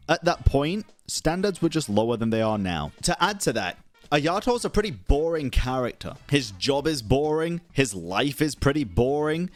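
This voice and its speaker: Blunt young man commenting over faint music. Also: mid-range voice. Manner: blunt, slangy, frequently swearing. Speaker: gaming YouTuber. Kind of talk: casual opinionated commentary. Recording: music playing faintly behind.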